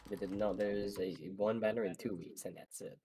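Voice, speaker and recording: A man's voice: on the low side, steady tone, brisk, warm tone, slight background noise. A man in casual chat as a player on a group game stream.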